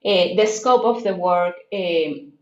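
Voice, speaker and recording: A woman's voice: fairly low pitch, slow pace, a little mellow, lo-fi sound. Latin American woman in an echoey room. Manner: reflective, with many fillers and restarts. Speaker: guest. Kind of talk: casual interview talk.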